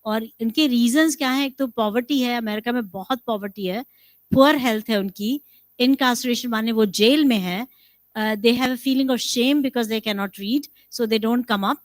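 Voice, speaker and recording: Rapid-fire Indian woman moderator. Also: fairly high pitch, no room sound. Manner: brisk, rapid-fire, switches between Hindi and English. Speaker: roundtable moderator. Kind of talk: moderating and interpreting at a conference.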